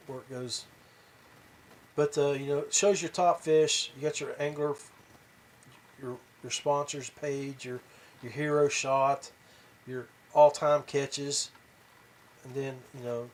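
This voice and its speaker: A man hosting a podcast, talking casually and haltingly to his viewers, rambling along with pauses and repeats. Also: medium pitch. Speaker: podcast host. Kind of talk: casual rambling talk to viewers about tournament fishing.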